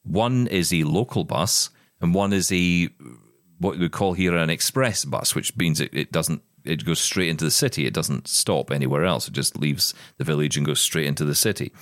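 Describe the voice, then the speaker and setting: Podcast co-host, male, low voice, loose rambling delivery, close dry mic. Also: normal speed, a bit bright, no room sound. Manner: rambling, repeats words when starting sentences. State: blind, describing progressive vision loss. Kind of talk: casual chat.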